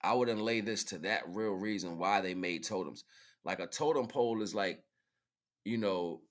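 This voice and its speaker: A man's voice: on the low side, moderate pace. A man giving casual livestream commentary in informal, slangy talk, repeating phrases for emphasis as he hosts. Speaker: livestream host.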